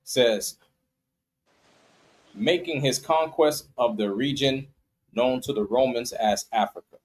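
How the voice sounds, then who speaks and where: A man reading an article aloud in a halting way, stumbling over some words. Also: mid-range voice, low-quality recording. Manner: reads aloud haltingly, brief agreements. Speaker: reader of articles.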